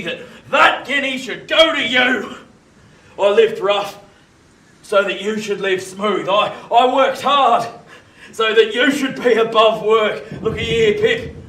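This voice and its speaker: An actor playing a rough, emotional convict of about sixty in a radio play, with a working-class English accent and halting rustic speech. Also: male voice, sits high, pushed and bright. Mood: fervent pride. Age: 60s. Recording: distant, echoey, faint hiss.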